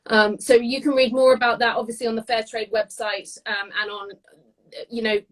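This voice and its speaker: Higher-voiced British woman, chatty cook. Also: little pitch movement, moderate pace. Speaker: guest cook demonstrating a recipe. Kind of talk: casual live cooking demonstration.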